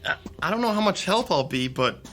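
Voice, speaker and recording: Hesitant loyal young student, joking edge, radio drama. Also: male voice, sits high, very animated, fairly quick, fairly bright tone, faint music behind.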